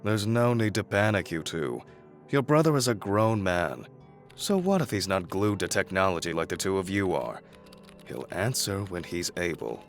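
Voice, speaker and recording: A man's voice: deep voice, sing-song, normal speed, faint music behind. An actor playing a stern, dismissive middle-aged businessman father, curt and authoritative, in an audio drama. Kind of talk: modern audio drama acting.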